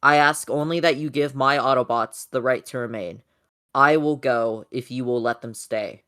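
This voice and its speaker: An amateur reader voicing a solemn, noble male robot leader giving a measured, oratorical address in a comic dub. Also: mid-range voice, sharp tone, clean tone, close to an everyday voice.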